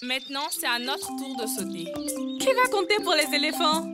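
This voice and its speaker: A woman voicing an eager, earnest young elephant child in a children's cartoon, speaking in a deliberate childlike way. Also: sits high, sing-song, loud music behind the voice. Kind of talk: children's educational cartoon dubbed in French.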